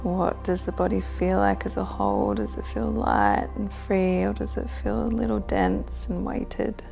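Woman, meditation guide, very creaky voice, slow unhurried pace, gentle soothing instructions, faint music behind. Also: mid-range voice, expressive.

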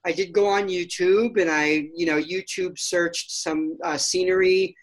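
A woman's voice: on the low side, fairly even intonation, measured pace, poor audio. A woman chatting casually on a video call, stumbling over restarts and often laughing. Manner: stammering restarts, frequent laughter. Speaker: participant in a video call chat. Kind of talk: casual chat.

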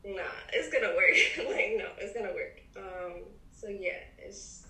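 A twenty-one-year-old woman chatting casually as a podcast guest, halting, with many fillers and restarts. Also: mid-range voice, pushed and bright, distant mic. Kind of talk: casual chat. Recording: echoey room, off-mic, faint hiss.

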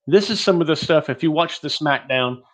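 A man's voice: fairly high pitch, normal speed, fairly bright tone. A man from Texas presenting an online teaching webinar in a rambling, joking, self-deprecating way.

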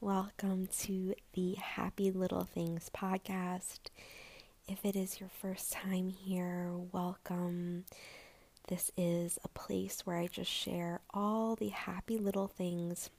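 A woman hosting her own podcast in a gentle, rambling chat, her voice a little raspy and hoarse, with plenty of fillers. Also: fairly low pitch, level tone, slow. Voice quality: raspy, breathy. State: slightly hoarse. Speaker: podcast host. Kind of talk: solo podcast intro, casual chat.

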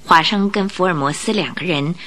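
A woman's voice: lowish voice, a little fast, fairly bright tone. A woman narrator telling a story in a scripted radio drama style. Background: music.

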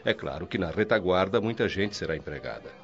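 A fatherly mentor, low voice, gentle and reassuring, dull lo-fi radio sound. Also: expressive, fairly quick, faint music behind. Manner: warm, fatherly, gently chuckling. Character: kindly older male mentor, calm and reassuring. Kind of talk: radio drama dialogue.

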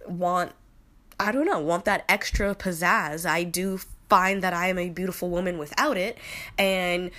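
A woman's voice: lowish voice, steady tone, a little slow, pushed and bright. A woman in her late twenties with a slightly nasal voice from a cold, talking through her opinions in a loose, rambling monologue. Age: 20s. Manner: rambling, with many fillers and restarts. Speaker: podcast host.

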